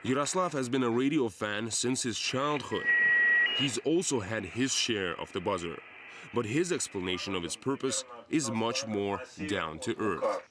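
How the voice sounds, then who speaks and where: A man's voice: fairly low pitch, lively intonation, moderate pace, a loud crowd. A man narrating a scripted television news report as the reporter. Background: music bed.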